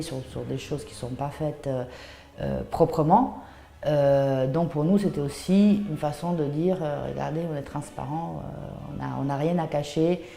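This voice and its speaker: Low-voiced Italian woman in her thirties, echoey room. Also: gravelly, warm tone. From Puglia, southern Italy. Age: 30s. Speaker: artisan cheesemaker and shop founder. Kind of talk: personal interview account of her craft.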